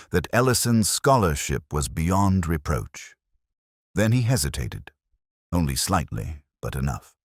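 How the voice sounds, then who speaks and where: Man, extremely low pitch, lively intonation, literary mystery narration, measured and reflective, dry close sound. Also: no echo. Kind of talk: scripted first-person detective story narration.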